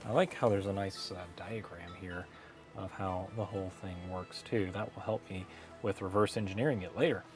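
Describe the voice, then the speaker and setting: A man hosting a hobby electronics vlog in a casual, unscripted way, talking through the work a little haltingly as he goes. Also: low-pitched, fairly even intonation, soft background music. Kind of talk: casual unscripted build narration.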